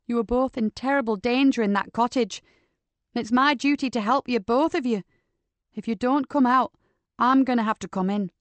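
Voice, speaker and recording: A woman's voice: fairly high pitch, moderate pace, no echo, lightly acted. A woman voicing a female police inspector, firm but coaxing, in an audiobook. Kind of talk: audiobook character voice.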